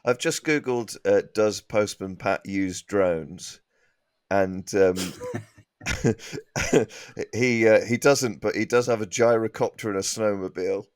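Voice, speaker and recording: A man's voice: fairly low pitch, takes it slowly, smooth, no room sound. A man bantering casually as a guest on a comedy podcast, dropping dry, joking asides.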